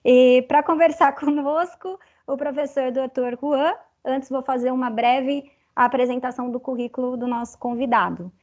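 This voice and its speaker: A woman's voice: high-pitched, fairly even intonation, moderate pace, a little mellow, lo-fi sound. A woman with a Brazilian Portuguese accent moderating an academic webinar, reading statistics carefully and adding "né" often. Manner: careful, reads figures, frequent "né". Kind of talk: academic panel presentation.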